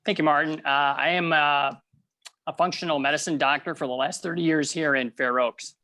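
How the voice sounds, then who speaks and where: A man's voice: on the high side, fairly even intonation, moderate pace, bright, forceful tone. A man playing an earnest veteran doctor as a game show contestant, speaking plainly and hesitantly. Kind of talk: improvised game show parody.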